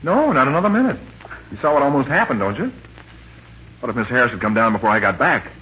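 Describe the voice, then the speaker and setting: A man's voice: medium pitch, sing-song, quick pace, bright, forceful tone. An actor playing an exasperated young male ship passenger in 1940s radio style, stammering and blustering his way along. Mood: exasperated, nervous. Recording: muffled, hissy old radio recording.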